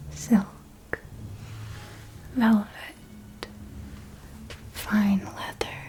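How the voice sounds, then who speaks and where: An elegant female vampire mentor, acted by a woman, speaks in a breathy ASMR whisper. Her delivery is very slow and formal, with deliberate, lingering pauses and a seductive, instructive poise. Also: medium pitch, poor audio. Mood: hushed, admiring. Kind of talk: whispered ASMR roleplay monologue.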